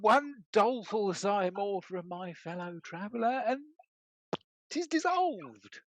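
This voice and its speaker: Bumbling, animated country knight acted by a man. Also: sits high, slow pace, no echo, full character voice. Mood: sentimental. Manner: hesitant stammering, rustic bluster. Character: bumbling, good-natured country bumpkin knight. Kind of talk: scripted stage comedy acting.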